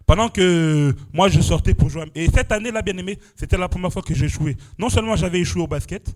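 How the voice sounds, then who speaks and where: A man's voice: fairly high pitch, a little mellow. A young man from France preaching a testimony at a church prayer vigil, exclaiming and punctuating his phrases with "amen" and "alléluia". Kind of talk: sermon testimony.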